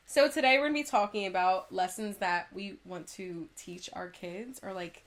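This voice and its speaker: A woman's voice: medium pitch, normal speed, a bit bright. A woman, chatting as a podcast co-host. She sounds congested, with a dry throat. Her voice sits in a middle register, and she talks in loose, run-on stories that she often breaks with laughter. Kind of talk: casual chat.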